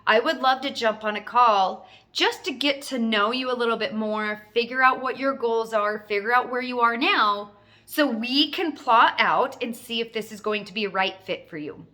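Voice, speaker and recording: A woman's voice: on the high side, moderate pace, sharp tone. A woman giving an informal sales training talk as a coach, emphatic and instructive, repeating key phrases for stress. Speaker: network marketing coach.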